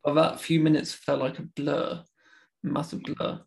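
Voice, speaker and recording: A man's voice: a little high, level tone, unhurried, gravelly. A man hosting a podcast who stammers, talking casually and earnestly with plenty of fillers. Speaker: podcast host. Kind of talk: casual conversation.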